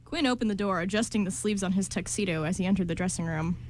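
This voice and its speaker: A woman's voice: mid-range voice, fast, a little mellow. A woman reading scripted story narration for an amateur radio play. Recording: clearly noisy amateur recording.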